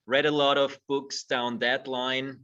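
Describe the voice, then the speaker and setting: A man's voice: mid-range voice, little pitch movement, slow, sharp tone, clean tone, distant mic, highs cut off. A middle-aged man from Austria, a guest in a conversational interview who speaks thoughtfully and repeats words as he starts his phrases. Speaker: guest, movement teacher.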